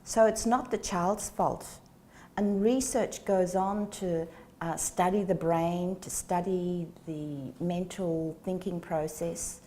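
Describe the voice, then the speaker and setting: A woman in her sixties, a guest in an interview, explaining things in a slow, measured way on a lapel mic in a small studio. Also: on the low side, steady tone, mellow, slight background noise. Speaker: guest, educator. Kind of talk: interview answers. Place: small studio set with plants.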